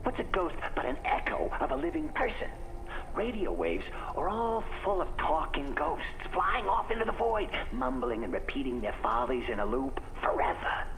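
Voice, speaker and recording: An actor playing a paranoid, rambling conspiracy theorist who stammers excitedly through a game monologue over a phone-like radio link. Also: male voice, fairly high pitch, normal speed, gravelly, exaggerated voice. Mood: manic musing. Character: jittery, paranoid conspiracy-theorist man, rambling and morbidly wry. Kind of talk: video game character monologue over a call. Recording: noisy radio or telephone line.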